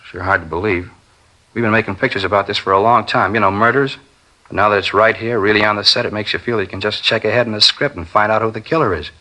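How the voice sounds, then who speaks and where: An actor playing an earnest, defensive film studio worker under questioning in a 1950s radio drama. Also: male voice, lowish voice, quick pace, pushed and bright, rough recording. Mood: musing. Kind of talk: 1950s radio acting, naturalistic dialogue.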